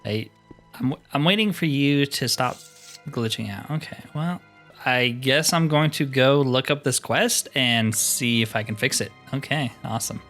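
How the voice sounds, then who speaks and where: Male gaming host, casual think-aloud playthrough commentary, mid-pitched voice, music underneath. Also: measured pace, a bit bright.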